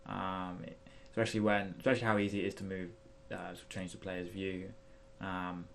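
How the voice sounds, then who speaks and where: A man with a fairly low, creaky voice hosts a software tutorial in a casual style. He thinks aloud as he demonstrates, feeling his way through each step with some hesitation. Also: little pitch movement, normal speed, a little hiss or hum. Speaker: tutorial host.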